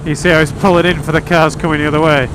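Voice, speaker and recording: Loud wind and engine noise, higher-pitched English man, casual motorbike intercom chat, rambling, dry jokey manner. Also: little pitch movement, very fast. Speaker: motorcycle vlogger. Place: outdoors, largely riding a motorbike. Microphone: helmet intercom microphone. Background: wind, motorbike engine and road noise.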